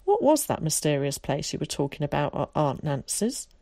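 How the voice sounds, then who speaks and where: A woman's voice: low voice, sing-song, normal speed, dark tone, no room sound, studio quality, heavily acted. A woman voicing an eager, theatrical, talkative young boy in a storybook, with dramatic, chummy old-fashioned phrasing.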